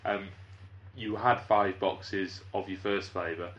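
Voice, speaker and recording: Dry mock-formal podcast host. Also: male voice, sits low, steady tone, slow pace, a bit bright, highs cut off. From North Wales. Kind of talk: casual comedic chat.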